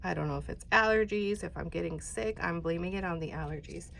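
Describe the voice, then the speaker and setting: Chatty stuffy woman, gravelly low voice. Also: brisk, heavy background noise. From Texas. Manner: chatty, rambling, drops to near-whisper while working. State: congested, stuffy-sounding. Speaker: craft tutorial host. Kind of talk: casual craft tutorial.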